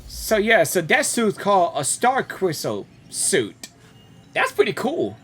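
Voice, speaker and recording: A woman's voice: medium pitch, wildly swooping pitch, slow. A woman streamer giving casual live reaction commentary on a game, repeating herself as she reacts aloud. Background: game music.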